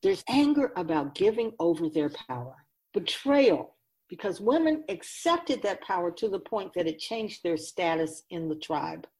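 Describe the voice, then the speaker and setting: A woman's voice: lowish voice, sing-song, unhurried, darkish tone, low-quality recording. A woman playing a wise, grave otherworldly keeper of souls in a radio drama, speaking in an oracular, instructive and emphatic way.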